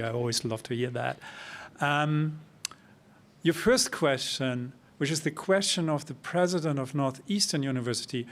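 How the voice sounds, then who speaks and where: A middle-aged man giving an academic lecture, speaking in a measured, emphatic way with pauses, then answering audience questions. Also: mid-range voice, a little hiss or hum. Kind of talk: academic talk and Q&A. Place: lecture hall.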